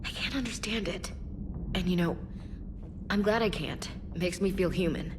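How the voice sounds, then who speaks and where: An actress playing an earnest, humane crew member in a video game, talking in a reflective, conversational way. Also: female voice, fairly low pitch, normal speed, background noise, natural voice. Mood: troubled. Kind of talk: video game dialogue acting. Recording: clear music behind the voice.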